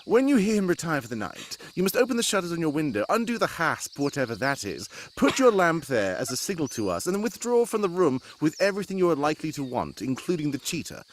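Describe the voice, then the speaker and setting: A man's voice: fairly high pitch, very animated, fast talker, hardly any pauses. A man playing a brusque, condescending detective in a live cold-read radio drama parody, theatrical and commanding. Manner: theatrical, commanding, breaking into ad-libs. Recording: live stage recording with background noise.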